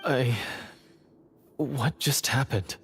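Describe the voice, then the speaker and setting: An actor playing a remorseful, troubled heir of a monster-hunter clan in a video game, hesitant, brooding and dramatic. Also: male voice, mid-range voice, very slow, vocal fry, a little hiss or hum. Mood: dazed, alarmed. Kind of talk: scripted video game dialogue.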